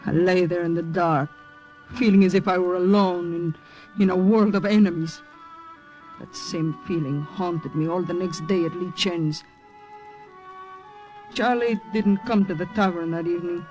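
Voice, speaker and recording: An actress plays a young woman, proud and bitter, in a vintage radio play. She speaks gravely and deliberately, whether narrating or in dialogue, with music prominent in the recording. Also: fairly low pitch, darkish tone, lo-fi sound, lightly acted. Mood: somber, reflective. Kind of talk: old-time radio drama acting, first-person narration and dialogue.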